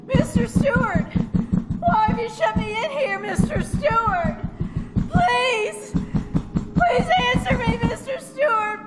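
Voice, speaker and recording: Woman playing high-voiced, timid young secretary. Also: expressive, full character voice, rough recording. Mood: frightened pleading. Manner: deferential, hesitant, halting. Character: earnest, timid, polite young female secretary. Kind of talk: old-time radio horror acting. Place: live stage performance at a winery. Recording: live radio play, loud music behind.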